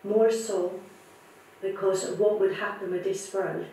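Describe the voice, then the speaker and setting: A woman's voice: mid-range voice, fairly even intonation, slow pace, dark tone, a little hiss or hum. A woman giving an earnest personal statement. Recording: distant, very echoey, faint ambience.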